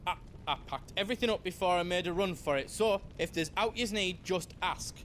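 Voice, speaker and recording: An actor playing a nervous, chatty merchant in a game cutscene. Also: male voice, high voice, fairly quick, fairly bright tone, slight background noise. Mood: anxious. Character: nervous chatty male merchant, young-ish. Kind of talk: video game cutscene acting.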